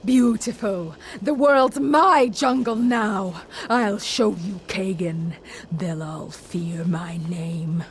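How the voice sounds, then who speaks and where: A woman's voice: mid-range voice, takes it slowly, a little hiss or hum, close to an everyday voice. A woman playing a feral, arrogant, hot-tempered vampire villainess in a video game, theatrical, snarling and foul-mouthed. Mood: gloating. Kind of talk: video game cutscene acting.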